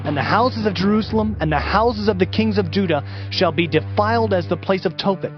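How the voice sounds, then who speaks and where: An actor playing a stern prophet who declaims warnings of doom in a dramatized scripture reading. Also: male voice, sits high, brisk, natural voice. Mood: stern proclamation. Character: stern male Hebrew prophet proclaiming doom. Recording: slightly muffled, lo-fi. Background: prominent music.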